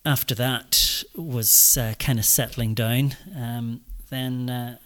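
A man's voice: medium pitch, fairly even intonation, takes it slowly, a bit bright. A Northern Irish man in his forties, a school head, talking eagerly in a podcast interview with lots of ums and restarts. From Northern Ireland. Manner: enthusiastic, many fillers and restarts.

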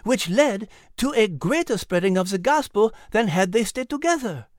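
A woman's voice: mid-range voice, expressive, moderate pace, dry recording, clean studio sound, natural voice. A woman voicing a refined, playful and polite female cat with a French accent in a children's audio drama. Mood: knowing.

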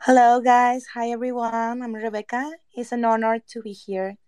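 A woman's voice: on the high side, level tone, moderate pace, darkish tone, smooth, no echo. A woman from Ecuador, a guest on a panel show, speaking hesitantly. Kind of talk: casual conversation.

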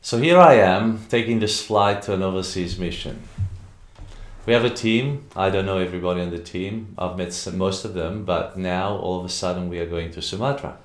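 A man's voice: deep voice, moderate pace, roomy sound. A man hosting a faith interview show, telling a personal testimony in an earnest, storytelling way with some hesitations, then asking his guest questions. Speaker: host.

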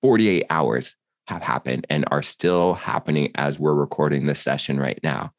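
A man with a very deep voice talks in a loose, rambling way, full of "like" and "uh". He co-hosts a casual podcast and sounds earnest. The recording is dry and close, with no room sound. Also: normal speed, no room sound. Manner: rambling, filler-heavy, earnest. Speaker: podcast co-host. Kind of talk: casual chat.

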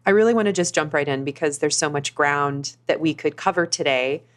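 Woman host, very low voice, close dry recording, encouraging interviewer. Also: fairly quick, no echo, soft background music. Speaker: podcast host. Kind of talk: scripted intro and interviewing.

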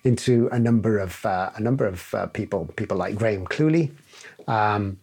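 A man's voice: on the low side, moderate pace, darkish tone, slightly rough. A man with an English and Welsh accent, a guest in an interview, talking casually with many fillers and restarts. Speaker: guest, security director. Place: studio in London.

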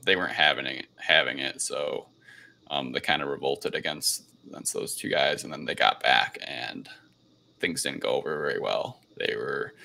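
Male podcast host, low-pitched, crisp bright edge, casual recap, hesitant, rambling phrasing. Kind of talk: casual film recap discussion.

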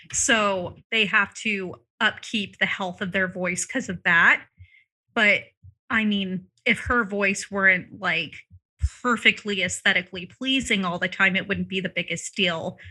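A woman with a very bright, forward voice talks casually about singing and vocal health. She is loose and chatty, full of "like" and "um." Her throat sounds a little dry, and she clears it from time to time. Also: medium pitch. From Arizona, US. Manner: chatty, many fillers like "like" and "um". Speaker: vocal coach guest. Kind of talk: casual podcast conversation.

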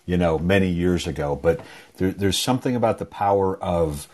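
A man who produces podcasts, talking in a relaxed, conversational way on a video call panel. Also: low voice, moderate pace. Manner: relaxed, conversational, some restarts.

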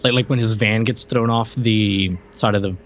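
A man's voice: lowish voice, fairly even intonation, normal speed, a bit bright, dull audio. A man co-hosting a film podcast, chatting casually and teasingly, often stammering over repeated words. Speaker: podcast co-host reviewing a film. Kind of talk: casual film-review chat.

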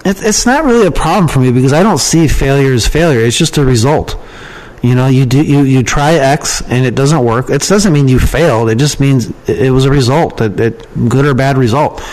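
A man speaks as an interview guest in a casual, conversational way. His delivery is informal and plain-spoken, with words often repeated for emphasis. The pitch sits in an ordinary middle range for a male voice. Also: fairly quick, darkish tone, rough recording. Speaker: podcast guest, email marketer and copywriting trainer.

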